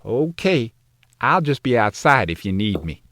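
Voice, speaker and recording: An actor playing a devoted but anxious, scheming husband in an audio drama. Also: male voice, medium pitch, very animated, unhurried, very noisy. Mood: anxious, earnest.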